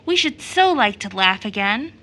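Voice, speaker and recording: A woman's voice: medium pitch, very animated, moderate pace, bright, forceful tone. A woman voicing sad little orphan boys in a storybook tale, plaintive, doubtful and full of wonder. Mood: weary, plaintive.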